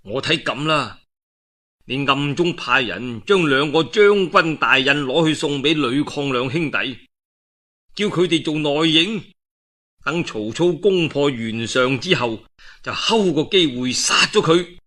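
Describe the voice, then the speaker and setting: A scheming advisor in a historical tale, put on by a male storyteller. He urges his lord with deference and care. The tone is sharp and bright, with expressive rises and falls. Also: on the high side, unhurried, heavily acted. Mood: conspiratorial.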